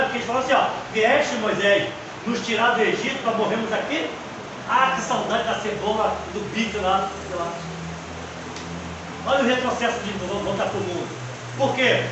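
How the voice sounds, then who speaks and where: A man's voice: high-pitched, moderate pace. A man in his fifties teaching a seminary class, preaching with rhetorical questions and personal testimony. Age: 50s. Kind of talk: preaching lecture on the Psalms. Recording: echoey distant room mic with background noise.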